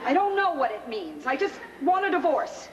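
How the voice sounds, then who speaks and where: Woman acting a very high-pitched, dramatic young wife. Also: big pitch swings, moderate pace, highs cut off, a faint crowd. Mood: coldly resolute. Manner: theatrical, emphatic, indignant. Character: emotional, stubborn young newlywed wife, dramatic and impulsive. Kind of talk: stage comedy acting. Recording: roomy, distant, clearly noisy stage recording.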